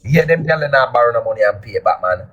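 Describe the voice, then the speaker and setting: A man with a Jamaican accent, boasting loudly and often shouting in a casual live chat. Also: medium pitch, animated, fast, dull audio.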